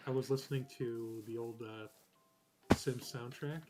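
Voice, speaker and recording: A man's voice: mid-range voice, steady tone, measured pace. A man chatting casually with friends while playing a building game, rambling with plenty of fillers. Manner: rambling, many fillers and restarts. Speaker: player on a game server. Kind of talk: casual chat while gaming. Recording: background game music clearly heard.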